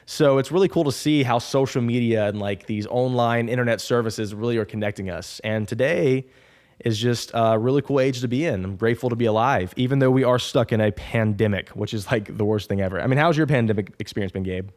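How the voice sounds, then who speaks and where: Young male podcast host, twenties, chatty and rambling, mid-pitched voice. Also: a little fast, a little mellow. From Alabama. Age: 20s. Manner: rambling, chatty, upbeat with frequent restarts. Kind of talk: casual solo podcast intro.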